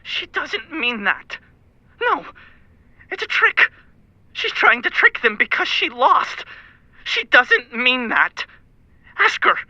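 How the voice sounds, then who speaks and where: A woman's voice: high-pitched, sing-song, takes it slowly, long pauses, bright, forceful tone, no echo, muffled recording, exaggerated voice. A woman voicing a small, naive, insistent childlike character in a cyberpunk audio drama. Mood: urgent, insistent. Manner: insistent, simple phrasing.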